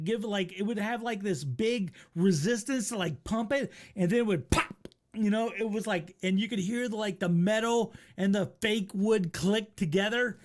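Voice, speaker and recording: A middle-aged American man casually telling a comic story in a rambling, profane, animated way. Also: high voice. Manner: profane, animated, acts out his remarks. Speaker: storyteller, host.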